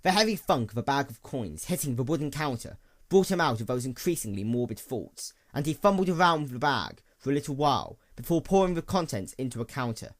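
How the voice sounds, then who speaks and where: Male story narrator, animated pitch, expressive dramatic read. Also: brisk. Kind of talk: dramatic scripted narration of fan fiction.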